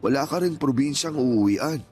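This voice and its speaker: A man acting as a gentle, hurt older father in a radio drama, pleading earnestly and emotionally with his grown child. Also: mid-range voice, lively intonation, moderate pace, lightly acted. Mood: reproachful. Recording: music playing behind the voice.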